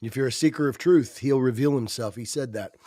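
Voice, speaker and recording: A man's voice: medium pitch, fairly quick, warm tone, dry recording, clean studio sound. A man co-hosting a podcast, stuffy-nosed, arguing his point earnestly and often restarting his sentences. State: stuffy nose. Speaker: podcast co-host. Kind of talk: casual religious discussion.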